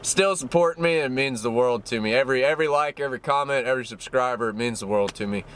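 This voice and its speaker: A man's voice: mid-range voice, fast talker, a bit bright, a little hiss or hum. A man, chatting easily to a dashboard camera from his parked car as a fishing vlogger, rambling and restarting. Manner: easygoing, rambling with restarts, says y'all. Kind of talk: casual chat to camera. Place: parked car cab, indoors.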